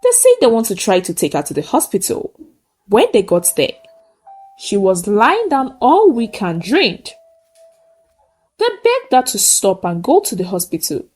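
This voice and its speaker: A woman's voice: medium pitch, huge pitch swings, measured pace, darkish tone, husky, faint music behind. A woman narrates a folktale in short, simple sentences, solemn and emphatic. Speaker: storyteller. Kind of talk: dramatic folktale narration with a closing moral.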